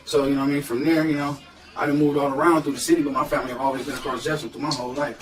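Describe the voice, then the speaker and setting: A man with a Detroit accent, a guest rapper telling stories in slangy, animated casual podcast talk. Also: a little high, very fast, reverberant, recorded from a distance, crowd noise. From Detroit, USA.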